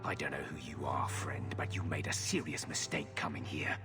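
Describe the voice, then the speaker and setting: Extremely deep, hushed villain. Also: male voice, steady tone, moderate pace, loud music behind the voice. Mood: cold menace. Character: menacing male soldier villain, cold and threatening. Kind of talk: video game voice acting.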